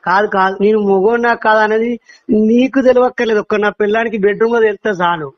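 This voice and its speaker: A man's voice: high-pitched, fairly even intonation, fast talker, no echo. A man on a phone call answering back with mocking, defiant retorts. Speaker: called party. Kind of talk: heated phone argument.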